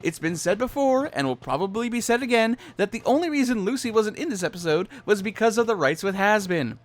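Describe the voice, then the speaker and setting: High-pitched, animated man ranting. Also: a little fast. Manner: opinionated, casual, swearing. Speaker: co-host of a fan recap show. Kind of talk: animated fan commentary with ranting.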